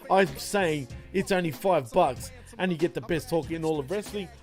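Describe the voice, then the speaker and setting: A man's voice: on the high side, normal speed. Man, Australian accent, podcast co-host, swears casually, laughs a lot, faint music behind. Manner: laid-back, swears freely, laughs often. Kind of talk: casual late-night wrestling podcast chat.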